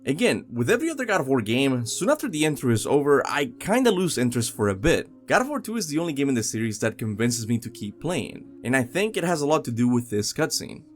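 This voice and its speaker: A man's voice: medium pitch, very animated, fast, few pauses. A young man narrating a scripted video game countdown as host, with music behind him.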